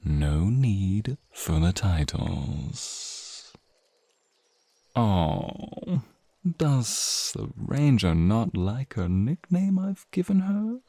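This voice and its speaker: A man performs a serpentine fantasy prince with a low, husky, breathy voice. His courtly phrases are drawn out very slowly and rise and fall expressively. He is suave and protective, in the intimate style of an ASMR roleplay. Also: very animated, mellow, dry recording, full character voice. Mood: teasing, flirty. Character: flirty serpentine naga prince, suave, protective, wistful beneath. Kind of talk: ASMR roleplay acting addressed to the listener.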